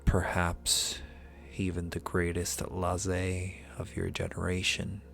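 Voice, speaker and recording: A man's voice: deep voice, completely flat delivery, slow pace, creaky, a bit bright, soft background music, full character voice. A man playing an ancient, powerful dragon in an ASMR roleplay, speaking formally in an intimate, teasing, condescending and possessive manner. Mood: musing, impressed. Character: ancient male dragon familiar, powerful, possessive, amused, seductive.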